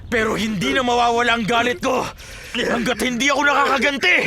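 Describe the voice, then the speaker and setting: A man's voice: very high-pitched, fairly even intonation, a little fast, background noise. A male actor playing a vengeful wronged man in a Filipino radio drama, theatrical and declaiming. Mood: menacing, vengeful. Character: vengeful wronged man, furious, threatening, later conscience-stricken.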